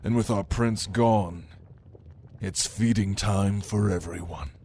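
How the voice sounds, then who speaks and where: Man acting a slow, deep, sinister demon. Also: darkish tone, slight background noise. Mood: menacing, taunting. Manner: slow, deliberate, menacing, mocking. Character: sinister, prideful male demon, a prince's cunning right hand. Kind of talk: ASMR roleplay monologue to the listener.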